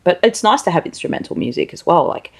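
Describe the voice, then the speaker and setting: A woman's voice: on the low side, very animated, fast, slightly rough. A woman hosting a conversational interview, speaking informally and thinking aloud as she goes. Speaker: interviewer, show host.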